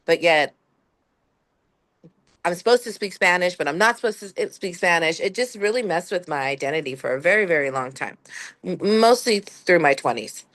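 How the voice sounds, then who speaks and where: A woman's voice: on the low side, expressive, moderate pace, fairly bright tone, no echo. A woman in her fifties from Southern California, telling a rambling personal story with laughs between thoughts. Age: 50s. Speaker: panel guest.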